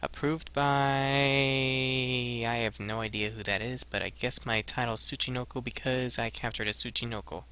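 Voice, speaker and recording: A man's voice: medium pitch, fairly even intonation, moderate pace, bright, forceful tone, smooth. A man in his twenties giving casual let's play commentary and reading game screens aloud on a headset mic. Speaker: gaming video host.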